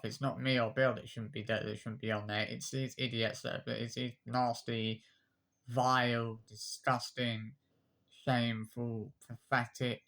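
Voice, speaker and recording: A man's voice: mid-range voice, a bit bright. A man, ranting defensively to camera, repeating himself and listing insults. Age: 20s. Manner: repetitive, restarts phrases, lists insults; aggrieved, defensive. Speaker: YouTube commenter. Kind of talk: unscripted rant to camera.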